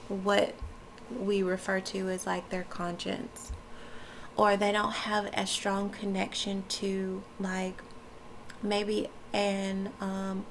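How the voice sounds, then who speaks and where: A woman's voice: mid-range voice, creaky, slight background noise. A woman talking casually to camera with a stuffy nose and a froggy voice, chatty and loose with many fillers. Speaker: wellness vlogger. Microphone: camera mic.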